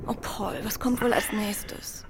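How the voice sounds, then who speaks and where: An actress playing an affectionate, concerned and teasing wife in a radio play. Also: female voice, mid-range voice, fairly even intonation, takes it slowly, in a whisper.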